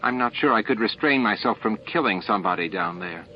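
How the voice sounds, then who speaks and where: A man's voice: fairly low pitch, expressive, a little fast, faint music behind, close to an everyday voice. Man reading as a blunt female officer, muffled. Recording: muffled, band-limited tape audio.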